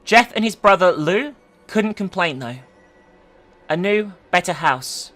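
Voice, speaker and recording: A woman's voice: lowish voice, expressive, unhurried, a bit bright. A woman hosting an online video, moving between casual commentary and dramatic story reading. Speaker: online video host and narrator. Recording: music behind the voice.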